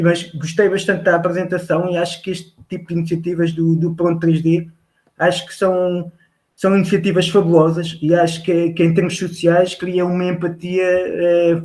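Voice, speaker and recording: A man's voice: high-pitched, monotone, moderate pace, a little mellow, rough recording. A man with a Lisbon Portuguese accent making a polite, formal comment as an audience member, hesitantly searching for words and repeating them.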